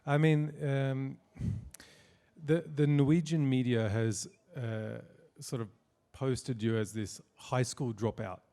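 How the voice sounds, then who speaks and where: A man's voice: medium pitch, steady tone, takes it slowly, dark tone. A man hosting an interview, asking questions in a wry, teasing way with many hesitations. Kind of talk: casual interview talk.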